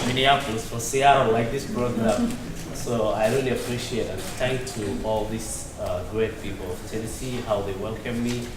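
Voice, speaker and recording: A young man, pausing often and filling gaps with small hesitations as he speaks up from the audience. His voice sits low and reaches the mic from across a roomy hall, with a steady hum of noise behind him. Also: recorded from a distance. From Somalia. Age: young adult. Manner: hesitant, many pauses and fillers, cheerful. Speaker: audience member asking a question. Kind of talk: public comment at a community meeting. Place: community meeting hall. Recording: echoey hall, voice heard from afar, background noise.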